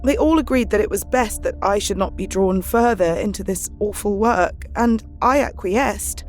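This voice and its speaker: A woman's voice: medium pitch, moderate pace, soft background music, natural voice. An actress playing an anxious, devoted young Victorian wife, reading her journal in a wistful, emotional way for an audio drama. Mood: wistful, hurt.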